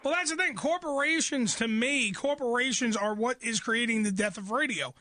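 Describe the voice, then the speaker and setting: A woman's voice: fairly high pitch, normal speed, sharp tone, a little hiss or hum. A woman hosting a radio show, interviewing in a casual, blunt, opinionated and informal way.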